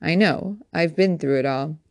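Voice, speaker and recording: Smug male fire captain, read by a woman, pompous storybook villain fond of quotations, low creaky voice. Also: normal speed, darkish tone, dry recording, clean studio sound. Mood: taunting, emphatic. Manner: theatrical, pompous, mocking. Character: smug, taunting fire captain, a man who loves quoting.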